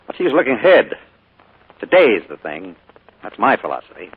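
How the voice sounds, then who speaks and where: An actor playing a smooth, evasive middle-aged uncle in a 1940s radio drama, on a tinny old broadcast recording. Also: male voice, fairly low pitch, huge pitch swings, fairly quick, full character voice. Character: charming sinister uncle, middle-aged man.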